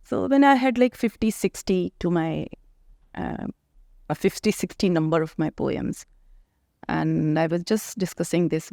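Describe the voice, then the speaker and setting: A middle-aged woman from India with an Indian accent and Hindi as her first language, talking as a poet and author guest in a thoughtful interview, pausing often to find her words. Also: low voice, creaky, a little mellow, no room sound, studio quality. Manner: thoughtful, searching for words with frequent pauses. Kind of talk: reflective conversational interview.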